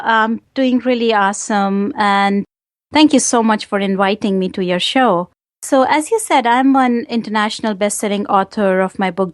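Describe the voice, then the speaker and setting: A woman's voice: medium pitch, dry recording, clean studio sound. Earnest Indian-accented woman guest. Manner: earnest, measured, hesitant fillers. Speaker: guest, wellness coach. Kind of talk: interview answers.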